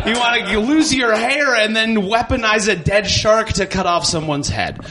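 A man doing casual, conversational stand-up comedy in a halting, self-conscious, stammering way. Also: high voice. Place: live comedy venue. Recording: noisy live stage recording.

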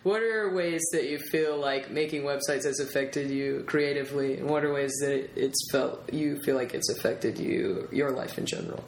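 A man's voice: medium pitch, normal speed, hardly any pauses. A man hosting a podcast in a casual interview style, with a tentative, wordy way of talking. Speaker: podcast host. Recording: roomy echo, faint background noise.

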